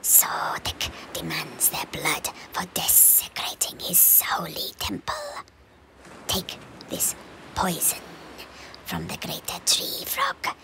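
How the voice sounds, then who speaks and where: Whispering, sinister reptilian skink. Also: male voice, fairly high pitch, creaky, voice pushed up, heavily acted, rough recording. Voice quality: hissing whispery. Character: sinister reptilian skink interpreter, male, cryptic and solemn. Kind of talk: fantasy audio play character acting.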